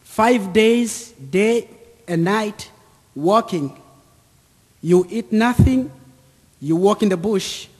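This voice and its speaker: A man in his thirties with an East African accent, giving a personal testimony to an audience in deliberate, short repeated phrases. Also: high voice, lively intonation, darkish tone, husky. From Central or East Africa, near Lake Tanganyika. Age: 30s. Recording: echoey hall with long reverb.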